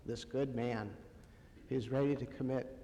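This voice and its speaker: A man preaching in a conversational style, with a halting delivery that pauses often between short phrases. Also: medium pitch, steady tone, dark tone, slight background noise. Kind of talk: conversational sermon. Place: church sanctuary, amplified to a congregation. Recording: long hall reverb.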